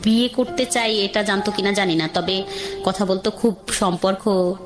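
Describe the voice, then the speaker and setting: A woman's voice: mid-range voice, steady tone, moderate pace. A woman with a Bangladeshi accent telling her own life story in a conversational, rambling, colloquial way. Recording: music under the voice.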